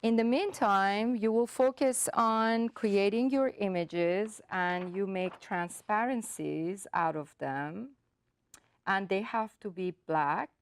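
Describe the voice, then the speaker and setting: Slow-spoken woman, Persian native speaker. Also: mid-range voice. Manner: careful, step-by-step explanation with frequent ums and uhs. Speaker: artist giving a demonstration. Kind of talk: explaining her work and technique.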